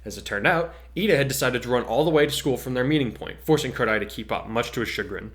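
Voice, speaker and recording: A man's voice: mid-range voice, quick pace, a bit bright. A man co-hosting and reading his own fan fiction, moving between casual chat and dramatic narration. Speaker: co-host and fan fiction author.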